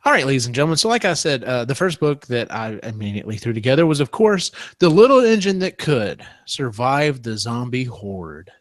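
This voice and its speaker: A man's voice: medium pitch, sing-song, fairly quick, hardly any pauses, no echo. A man, a podcast co-host, reading a comic parody storybook aloud.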